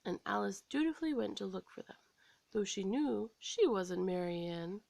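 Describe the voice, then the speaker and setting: An American woman storyteller reading a scripted children's story aloud in an expressive, dramatic way. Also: medium pitch, normal speed, vocal fry, no room sound.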